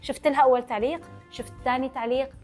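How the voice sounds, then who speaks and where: A woman's voice: high-pitched, steady tone, rapid-fire, darkish tone, faint music behind. A young adult woman with a Levantine Arabic accent, chatting casually to her followers as a vlogger and running her words together. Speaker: vlogger answering followers' questions.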